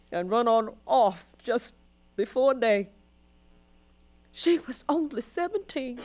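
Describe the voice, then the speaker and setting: Casting: devout, world-weary elderly Southern grandmother for radio drama. Slightly high voice, slow, solemn, deeply felt dialect delivery. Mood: anguished, grieving. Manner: solemn, emotive, deliberate.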